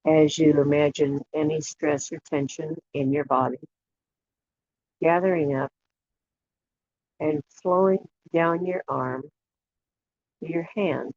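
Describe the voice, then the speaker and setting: A guided imagery facilitator leading a relaxation meditation in a soothing, unhurried way, leaving long pauses between short phrases. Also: mid-range voice, fairly even intonation, a little mellow. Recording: dull lo-fi audio with clear background noise and clicks.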